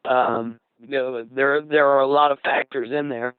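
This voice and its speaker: Guy on a discussion panel, measured and careful, crisp dry voice squeezed thru phone-like radio sound. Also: mid-range voice, steady tone, dry recording. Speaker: panel guest, voice actor.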